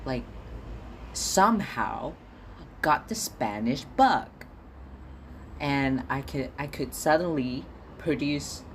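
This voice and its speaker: A man's voice: mid-range voice, slight background noise. Young singapore guy venting into a podcast at home, swears a lot, stumbles on words. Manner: swearing, exasperated ranting with stammered repeats. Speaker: podcast host. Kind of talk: casual solo podcast diary.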